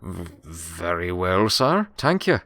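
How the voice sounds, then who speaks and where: A man acts out an elderly pony servant for a dramatic story reading. The voice sits low. He is plainspoken and folksy, always dutiful to his master. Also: very animated, takes it slowly, a bit bright, full character voice. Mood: dutiful, obliging. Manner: folksy rustic phrasing, says sir deferentially.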